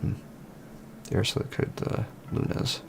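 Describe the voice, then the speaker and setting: A man hosting a livestream, reading web fiction aloud with casual commentary, thinking out loud as he goes. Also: normal speed, noisy background. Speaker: livestream host.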